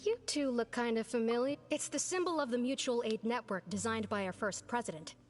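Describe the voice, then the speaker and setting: Slightly high, chatty game townswoman, friendly, actress, light score. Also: fairly quick, natural voice. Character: young woman of a small aid network, friendly. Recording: game audio with faint music.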